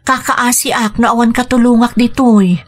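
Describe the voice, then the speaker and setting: A woman's voice: on the high side, steady tone, normal speed, close to an everyday voice. A woman playing a patient, pleading wife and mother in an Ilocano radio drama. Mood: earnest, pleading.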